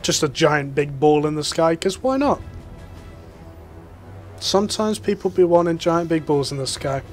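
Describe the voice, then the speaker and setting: Casual male gaming host, slightly high, rambling, light music under. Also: expressive, moderate pace. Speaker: gaming youtuber. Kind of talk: casual gameplay commentary.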